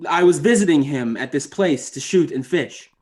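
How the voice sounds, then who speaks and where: An actor playing a proud, defensive young writer giving formal, precise testimony in an old-time radio drama. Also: male voice, fairly high pitch, expressive, normal speed, a little mellow, husky. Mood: guarded, formal. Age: young adult.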